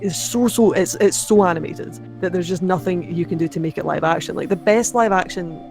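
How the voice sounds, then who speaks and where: A young woman with a mid-range Scottish accent, chatting casually as a podcast guest, quick to laugh and restart her sentences. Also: fairly low pitch, darkish tone, soft background music. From Scotland. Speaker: podcast guest, anime video creator. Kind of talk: casual chat.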